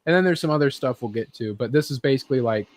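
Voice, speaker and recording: A man hosting a casual pop-culture panel, talking in a rambling, halting way and swearing freely. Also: medium pitch, expressive. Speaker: host of a panel show.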